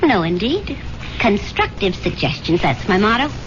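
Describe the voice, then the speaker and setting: An actress playing a brisk, loyal but bossy wife in a 1970s radio drama. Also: female voice, mid-range voice, very animated, over music, natural voice. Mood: playfully firm. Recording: muffled old radio broadcast.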